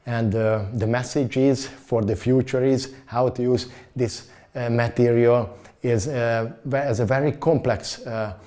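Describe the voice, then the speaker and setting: A man giving a halting interview answer as an agriculture expert, with many fillers and restarts. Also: medium pitch, steady tone, dark tone.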